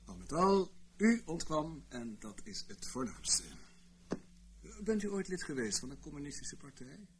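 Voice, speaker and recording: A sardonic, animated male interrogator. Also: fairly high pitch, measured pace. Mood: smoothly in control. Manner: polite, needling, ironic understatement. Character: probing, sardonic male interrogator, politely in charge. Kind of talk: Dutch radio play acting. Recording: noisy old radio play recording.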